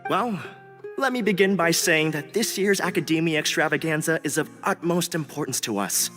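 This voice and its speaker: A man's voice: fairly high pitch, normal speed, close to an everyday voice. A man voicing a courteous, earnest young academic organizer in a video game, speaking in a polite, formal, explanatory way. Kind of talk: video game character acting. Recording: long reverb, music behind.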